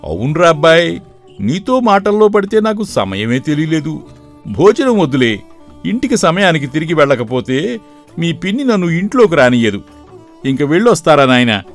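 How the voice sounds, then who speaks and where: A man playing a kindly elderly village uncle who consoles and advises in an animated moral story. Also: on the high side, normal speed. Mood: friendly. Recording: background music throughout.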